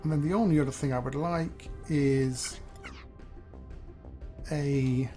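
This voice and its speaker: Man, slightly hoarse, casual game commentary, chatty and self-mocking, faint music behind. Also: mid-range voice, fairly even intonation, slow, warm tone. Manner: thinking aloud, chatty and self-deprecating. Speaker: let's play host.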